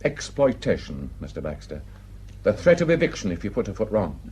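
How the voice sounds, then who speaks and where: A man's voice: lowish voice, very animated, a little fast, a little mellow, slightly rough, full character voice. An actor playing a gossipy, plain-spoken village man with a Northern English accent, telling indignant tales in an emphatic, colloquial way in a radio drama. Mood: wry indignation. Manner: garrulous, emphatic, colloquial storytelling.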